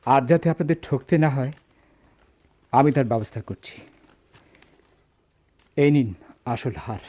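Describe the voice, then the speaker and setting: An actor playing a proud gold merchant boss in a radio drama, speaking formal Bangladeshi Bengali with theatrical emphasis. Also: male voice, mid-range voice, a little slow, warm tone, natural voice. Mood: remorseful, pleading. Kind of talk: Bengali radio play acting. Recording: dull lo-fi radio drama recording.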